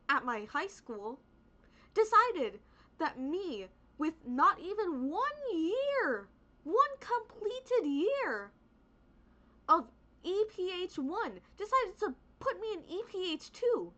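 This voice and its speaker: A woman's voice: extremely high pitch, big pitch swings, slow, long pauses, pushed and bright. A young woman whose first language is Spanish, rambling in a casual, profane rant as a VTuber streamer, peppered with "like" and "right?". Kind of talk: casual ranting monologue. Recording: dull lo-fi recording.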